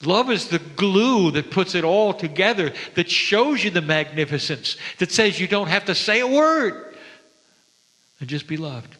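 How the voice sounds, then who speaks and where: A man giving a spiritual sermon to an audience, speaking gently and reverently with deliberate, dramatic pauses. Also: high-pitched, animated. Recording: long hall reverb.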